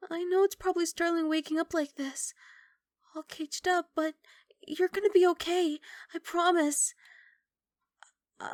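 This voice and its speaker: A woman playing a young, sweet, apologetic giant dragon girl in an intimate ASMR roleplay, soft and coaxing with hesitant rambling. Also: sits high, gravelly, dry recording, studio quality. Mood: relieved concern. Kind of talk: ASMR roleplay, intimate one-sided dialogue.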